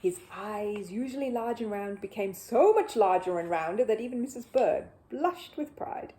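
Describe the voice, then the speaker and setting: A woman reading a children's book aloud as an expressive storyteller. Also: mid-range voice, moderate pace. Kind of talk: expressive storybook narration with a casual greeting.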